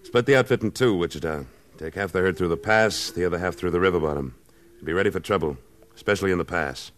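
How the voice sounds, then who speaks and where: A man's voice: deep voice, quick pace, close to an everyday voice. A man playing a tough, commanding frontier cattle boss in a western radio drama, terse and authoritative. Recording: noisy old-time radio recording.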